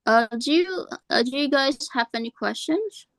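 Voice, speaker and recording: A woman's voice: high-pitched, lively intonation, normal speed, no room sound, low-quality recording. An Indonesian woman hosting an online class, switching between English and Indonesian with some hesitation. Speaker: class host.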